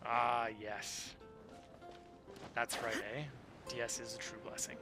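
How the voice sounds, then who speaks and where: A man's voice: medium pitch, monotone, slow, over loud music. A man streaming a game and chatting casually, in a rambling, conversational way. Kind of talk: casual chat during livestream. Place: indoors, game audio and music behind.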